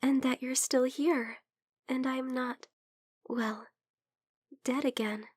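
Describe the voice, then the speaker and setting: A woman playing a gentle, teasing female vampire friend who is unwell, speaking softly and hesitantly in an ASMR roleplay. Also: high voice, fairly even intonation, takes it slowly, no room sound, clean studio sound. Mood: hesitant, confessing. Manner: soft, hesitant, playfully teasing. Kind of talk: soft-spoken ASMR roleplay.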